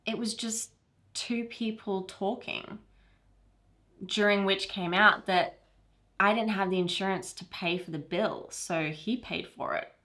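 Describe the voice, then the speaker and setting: A woman's voice: mid-range voice, fairly even intonation, a little slow, bright, forceful tone. A woman playing a chatty newlywed who confides a romantic tale in a conversational comedy monologue. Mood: earnest explaining.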